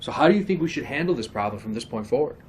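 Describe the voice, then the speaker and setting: Man as stern school administrator, brisk and instructing, amateur drama, medium pitch, slight background noise. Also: animated, a little mellow, natural voice. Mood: stern, firm. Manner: firm, formal, instructing. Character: stern, orderly male school disciplinarian. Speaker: school administrator questioning students.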